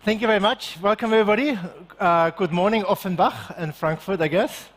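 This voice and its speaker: High-voiced Austrian man giving a keynote. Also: fairly quick. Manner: animated, engages the audience. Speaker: keynote speaker. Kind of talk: energetic stage keynote. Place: large conference stage.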